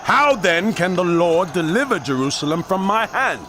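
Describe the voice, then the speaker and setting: Audio drama casting: menacing, arrogant ancient commander, mocking declamation, very animated pitch, noisy outdoor ambience. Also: male voice, normal speed. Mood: loud, defiant proclaiming. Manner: declamatory, mocking, forceful oratory. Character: arrogant, taunting Assyrian military commander, booming and menacing. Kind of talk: dramatized audio scripture acting.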